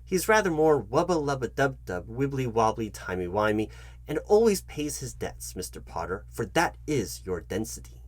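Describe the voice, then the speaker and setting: A man's voice: mid-range voice, sing-song, normal speed, dry recording. A man playing a pompous, bumbling job interviewer in a comedic roleplay, condescending and fussy, stammering and correcting himself. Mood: indignant, condescending. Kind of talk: comedic ASMR roleplay addressed to the listener.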